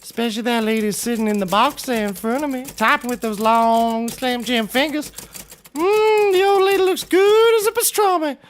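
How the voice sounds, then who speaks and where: A man's voice: extremely high pitch, moderate pace, slight background noise. A man voicing a giddy, fawning cannibal hobo in a scripted comedy, cooing and drawing out his words with gleeful menace. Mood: gleeful, hungry. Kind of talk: scripted audio comedy courtroom acting.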